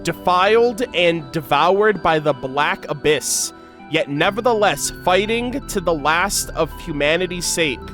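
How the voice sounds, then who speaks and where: A man giving excitable, slangy reaction commentary as a gaming creator, mixing in read-aloud game lore. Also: a little high. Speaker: gaming content creator, host.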